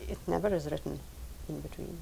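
A woman with a low voice and a gravelly edge, a native Lithuanian speaker, answering questions in a recorded interview. She talks in a measured, thoughtful way, often repeating words. A faint hiss sits under the recording. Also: mellow, poor audio. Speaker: professor and translator. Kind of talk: recorded oral history interview.

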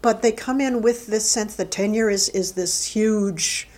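A middle-aged woman who works as a university administrator, answering interview questions in a thoughtful, halting way with frequent restarts. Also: medium pitch, a little mellow. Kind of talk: oral history interview.

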